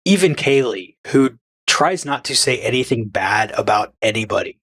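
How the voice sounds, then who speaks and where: A man's voice: mid-range voice, animated, moderate pace, fairly bright tone. A man co-hosting a fan podcast, chatting casually and giving blunt opinions. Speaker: podcast co-host. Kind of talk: casual chat.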